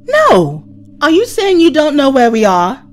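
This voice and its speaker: A woman's voice: sits high, wildly swooping pitch, a little slow, exaggerated voice. A woman playing a time traveller's hurt young companion in an audio drama, earnest and reproachful. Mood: accusing, alarmed.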